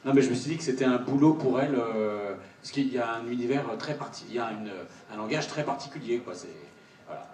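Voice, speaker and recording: A man's voice: mid-range voice, fast, darkish tone. Male panellist, colloquial and hesitant, roomy live sound with faint background noise. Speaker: voice actor on a panel. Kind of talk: casual spoken panel answer. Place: large cinema auditorium. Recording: echoey hall, distant mic, faint noise.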